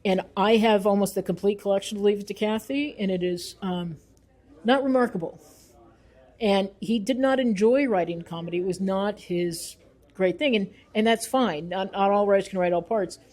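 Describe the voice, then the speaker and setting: Woman, interview guest, mid-range pitch, dark mellow timbre, emphatic, repeats phrases for weight. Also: normal speed. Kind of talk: thoughtful spoken interview.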